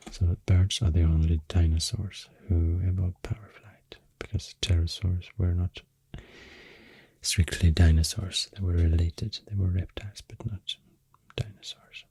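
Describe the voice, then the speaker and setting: A man with a Swedish accent speaking in a deep, soft, half-whispered ASMR ramble, halting and correcting himself, close to the microphone. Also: husky, no echo. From Sweden. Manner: hesitant, slow, halting, self-correcting. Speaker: ASMR artist.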